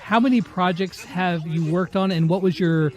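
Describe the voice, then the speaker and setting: A man's voice: high-pitched, moderate pace. A man hosting a casual interview, asking questions in a chatty, informal way. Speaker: interview host.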